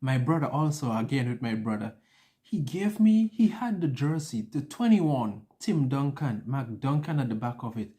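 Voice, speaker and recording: A young man with a dark, mellow voice of ordinary pitch tells personal stories in a casual vlog style. He is chatty and conversational, and slips into quoting himself and others as he goes. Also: lively intonation, moderate pace. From an island. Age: young adult. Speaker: vlogger. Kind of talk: casual personal storytelling to camera.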